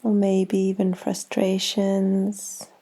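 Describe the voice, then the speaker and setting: Very slow, bright-voiced woman guiding meditation. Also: mid-range voice, husky. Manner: calm, soothing, unhurried with long pauses. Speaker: yoga nidra guide. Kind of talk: guided meditation.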